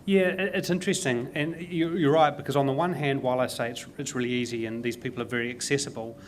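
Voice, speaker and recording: A man with a New Zealand accent hosts a casual panel talk, emphatic and ready with opinions. His pitch sits in an ordinary middle range, and a faint hiss runs under the recording. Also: steady tone, fast. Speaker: panel host.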